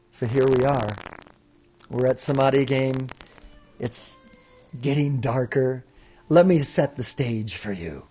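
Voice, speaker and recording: A man of middle pitch hosts a spiritual broadcast from an indoor room. He talks in a calm, slow, reflective way with hushed stretches, then leads chanted affirmations. Soft music plays faintly behind him. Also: gravelly, rough recording. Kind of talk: meditative spiritual talk leading chanted affirmations. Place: indoor room with windows in the mountains.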